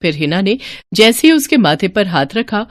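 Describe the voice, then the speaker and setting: A woman's voice: medium pitch, sing-song, moderate pace, a little hiss or hum. A woman narrating a scripted moral story as a dramatic storyteller.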